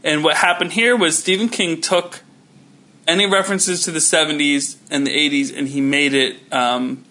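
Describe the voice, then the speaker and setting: A man's voice: on the high side, steady tone, moderate pace, bright, forceful tone. A man in his thirties co-hosting a podcast, rambling with lots of fillers and restarts. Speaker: podcast co-host. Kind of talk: casual chat.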